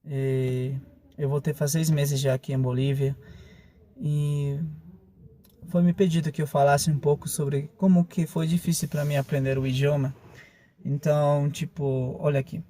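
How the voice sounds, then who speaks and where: A man with a Bolivian accent and Spanish as his first language, giving a relaxed, casual testimonial full of fillers. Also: a little high, measured pace.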